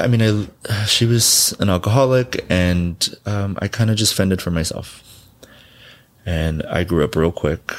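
A man from Southern California, a guest in an interview, telling his life story bluntly and candidly, swearing freely and laughing often. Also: deep voice.

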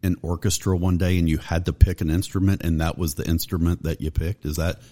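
A man's voice: low voice, brisk. A man hosting a music podcast, chatting casually and asking long, rambling questions. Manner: relaxed, conversational, asks long rambling questions. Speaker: podcast host. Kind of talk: casual interview chat.

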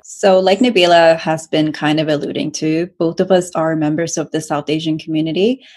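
A woman in her twenties from Norway co-hosting a podcast, explaining things in a steady way. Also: low voice, normal speed. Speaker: podcast co-host. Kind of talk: podcast introduction.

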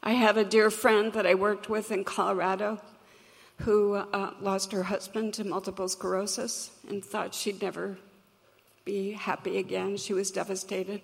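A woman's voice: medium pitch, little pitch movement, creaky. Crackly-voiced woman telling a personal story. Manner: earnest, reflective, measured. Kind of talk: personal story told to an audience. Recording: long hall reverb.

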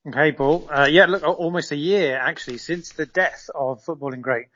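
A man's voice: on the high side, normal speed, pushed and bright, lo-fi sound. A man serving as a correspondent in a live radio interview, explaining at length with frequent fillers and restarts.

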